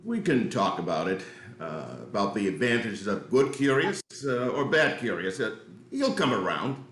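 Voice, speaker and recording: A man reading a controlling, calculating businessman father in his fifties, deliberate and insinuating, in a script read. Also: fairly low pitch, sing-song, a bit bright. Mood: cool, menacing. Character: controlling, calculating fifty-ish businessman father, crime boss. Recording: echoey room.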